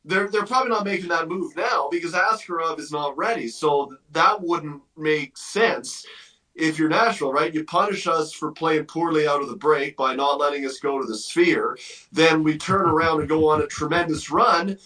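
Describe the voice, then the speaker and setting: A man's voice: a little high, normal speed, pushed and bright, recorded from a distance, poor audio. A man giving opinionated hockey analysis as a guest on a sports radio interview, conversational and emphatic, thinking aloud as he goes.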